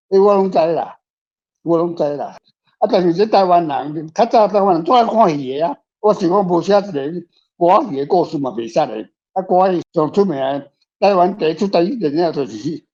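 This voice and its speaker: A man's voice: a little high, a little mellow. An older man with a Taiwanese accent in a casual literary discussion, emphatic and opinionated, often repeating his points. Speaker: author and discussion guest. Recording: dull lo-fi, music behind.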